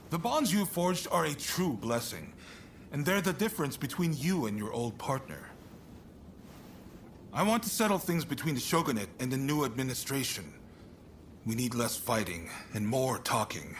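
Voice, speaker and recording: Man acting animated samurai statesman, swooping intonation. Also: medium pitch, a little hiss or hum, natural voice. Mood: warm gratitude. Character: shrewd, spirited samurai statesman of the shogunate, male. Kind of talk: dramatic video game cutscene acting.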